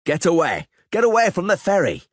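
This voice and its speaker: A man voicing a gruff middle-aged soldier who roars threats and orders, in a husky voice. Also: sits high, wildly swooping pitch, brisk, dry recording, clean studio sound, pitched above the performer's own voice, heavily acted. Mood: barking.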